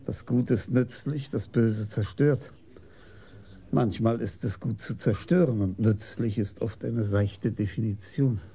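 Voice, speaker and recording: An actor playing a thoughtful senior police commissioner in a radio crime drama, measured and dryly probing, with a husky voice. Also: male voice, on the low side, dark tone. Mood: measured, reflective. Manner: measured, deliberate, philosophical.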